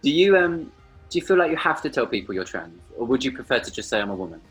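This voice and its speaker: A man's voice: medium pitch, very animated, fast talker, fairly bright tone, soft background music. A man co-hosting a casual video-call chat, asking eager questions. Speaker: co-host. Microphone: laptop webcam on video call.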